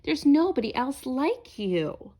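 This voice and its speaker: A woman plays a hearty storybook circus boss, a man in charge of the big top. The voice is high and swings freely up and down, with a commanding, jovial manner. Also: big pitch swings, takes it slowly, dark tone, slightly rough, exaggerated voice. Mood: hearty, admiring.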